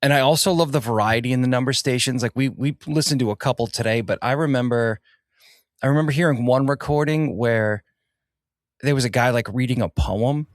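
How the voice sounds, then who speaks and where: A man's voice: mid-range voice, brisk, dry recording, studio quality. A man co-hosting a podcast in casual conversation, informal and swearing freely. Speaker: podcast co-host.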